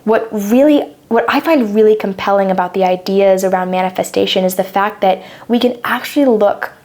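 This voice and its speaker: A woman's voice: mid-range voice, brisk, a little mellow. A woman in her twenties, co-hosting a podcast and explaining ideas in a thoughtful, casual chat. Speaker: podcast co-host.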